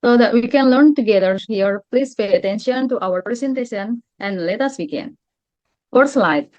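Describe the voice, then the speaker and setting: A woman's voice: on the high side, expressive, moderate pace, a little mellow, no echo. Indonesian woman reading formally, in dull audio. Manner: formal, careful, stumbling over long numbers and phrases. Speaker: postgraduate research presenter. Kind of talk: scripted conference presentation, read aloud.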